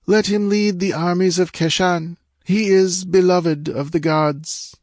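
Man voicing a high, cold, imperious goddess oracle. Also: steady tone, measured pace, darkish tone, no echo, studio quality. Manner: detached, impersonal, commanding.

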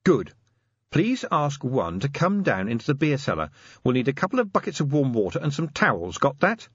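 An irritable female werewolf police sergeant, performed by a male audiobook reader, snaps out commands in a raised pitch. The delivery is crisp and clear, with a lively swing. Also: normal speed, dry recording, muffled recording. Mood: commanding. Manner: snappish, commanding. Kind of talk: audiobook character acting.

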